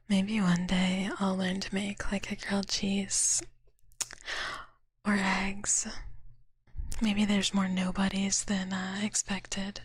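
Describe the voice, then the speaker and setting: A young woman whispering softly to her viewers in a drowsy, teasing chat, tired and a bit out of it. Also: medium pitch, slightly rough, no room sound, poor audio. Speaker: streamer.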